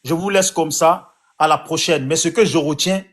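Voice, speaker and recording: A man's voice: a little high, normal speed, fairly bright tone. A man in a heated online debate, rambling and flustered, often repeating himself. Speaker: debate participant.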